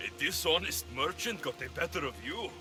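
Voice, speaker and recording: A man's voice: fairly high pitch, animated, fairly quick, music in the background, natural voice. An actor playing a cocky, sardonic male mercenary in a video game cutscene. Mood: confident. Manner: dry, sardonic, bargaining. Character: cocky, wry male mercenary in ancient Greece.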